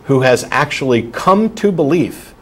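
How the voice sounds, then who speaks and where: A man's voice: a little high, lively intonation, measured pace, fairly bright tone. A man hosting a call-in talk show, answering a caller bluntly and explaining his arguments at length. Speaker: host.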